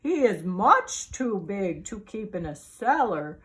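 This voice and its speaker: Woman playing a calm storybook policeman, voice dropped low, slow and plain. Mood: matter-of-fact. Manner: lower, measured and matter-of-fact. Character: calm, steady adult male policeman.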